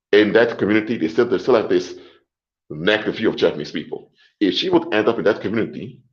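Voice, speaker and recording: A man hosting a livestream, lecturing deliberately and emphatically, repeating his points and closing them with short clinchers. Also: low voice, lo-fi sound. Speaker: livestream host. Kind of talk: lecturing monologue to a live audience.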